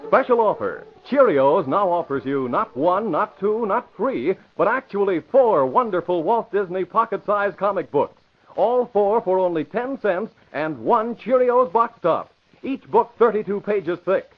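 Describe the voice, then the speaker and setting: A man's voice: sits high, expressive, a little slow. An American man announcing in an emphatic, scripted 1940s radio style. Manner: emphatic, declamatory. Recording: dull old radio recording.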